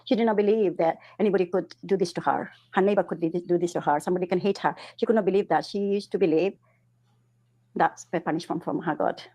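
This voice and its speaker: Earnest middle-aged woman from Bangladesh, lowish voice, halting delivery. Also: steady tone, dark tone. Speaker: interview guest, councillor. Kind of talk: personal testimony in interview.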